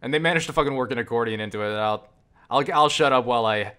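A man giving casual, profane gameplay commentary, enthusiastic but halting, often restarting his phrases. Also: mid-range voice, sharp tone, clean tone. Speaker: gamer and game reviewer. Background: game music and sound.

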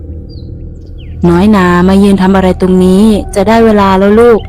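A woman voicing a caring female dance teacher in a cartoon ghost story, gently and fondly addressing her pupils. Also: mid-range voice, steady tone, measured pace, a little hiss or hum, lightly acted. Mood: concerned.

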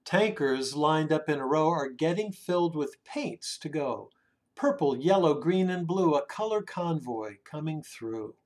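Slow, expressive older man reading aloud. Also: on the high side. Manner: dramatic, with drawn-out words and hushed phrase endings. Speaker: children's story reader. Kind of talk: expressive picture-book read-aloud. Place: small room indoors at home.

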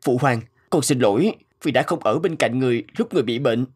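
A man's voice: medium pitch, animated, brisk, slightly rough. A man voicing an earnest, devoted young prince in a cartoon fairy tale. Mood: worried, dutiful. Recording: loud background music.

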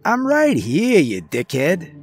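A cheeky male skunk, played by a male narrator in a story, speaking with a heavy accent. He is rude, indignant and sarcastic, a brash wise guy whose pitch jumps all over. Faint music sits behind him. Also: huge pitch swings, slow, exaggerated voice. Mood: rude, mocking. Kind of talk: audiobook character voice.

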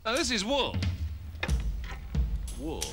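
Gruff chain-mailed soldier, slow, swooping curt orders over loud music. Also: male voice, high voice, huge pitch swings, exaggerated voice. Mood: scornful. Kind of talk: children's TV historical drama.

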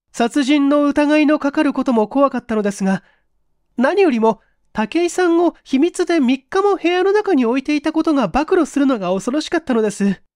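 An anxious, well-mannered young woman, acted by a woman narrator recounts her ordeal in a high voice. She is polite and flustered, in dramatic audiobook style. The recording is dry and intimate. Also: darkish tone, dry recording.

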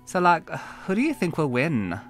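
Brief: catty schoolgirl bully, voiced by a male narrator, pitched up, drawn-out sneers. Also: wildly swooping pitch, soft background music. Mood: mocking. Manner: drawling, mocking, emphatic. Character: catty mean-girl teen schoolgirl.

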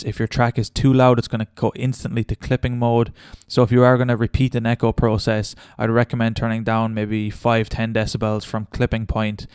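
A man presents a casual software tutorial. He talks briskly in a smooth, clean voice, explaining each step informally. The sound is close and dry. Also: medium pitch, no echo. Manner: informal, instructional. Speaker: tutorial host.

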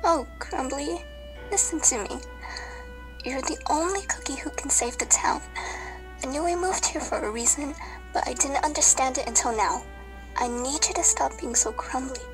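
A woman playing a loving mother cookie in a children's radio play, soft, earnest and encouraging. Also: high voice, moderate pace, over music, natural voice, poor audio. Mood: urgent, hushed.

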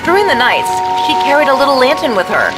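A woman's voice: high voice, very animated, fast, loud music behind the voice, poor audio. A woman narrator reading a scripted fairy tale as a storyteller.

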